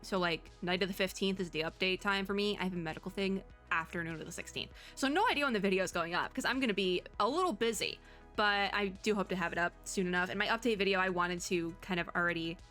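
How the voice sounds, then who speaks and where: A woman gaming vlogger giving casual reaction commentary to viewers in a giggly, excitable, rambling way. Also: medium pitch, quick pace, soft background music.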